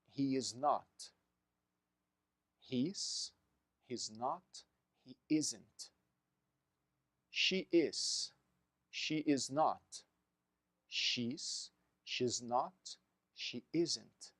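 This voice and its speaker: Slow, deliberate male English teacher. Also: mid-range voice, dry recording, clean studio sound. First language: Portuguese. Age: 30s. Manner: slow, deliberate drilling of phrases for repetition. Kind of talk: recorded online language lesson.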